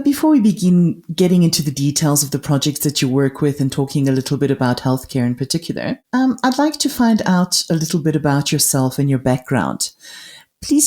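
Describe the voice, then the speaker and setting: A woman's voice: deep voice, dark tone. A woman hosting a podcast interview, welcoming her guest and asking friendly, polite questions with some fillers. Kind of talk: hosted interview.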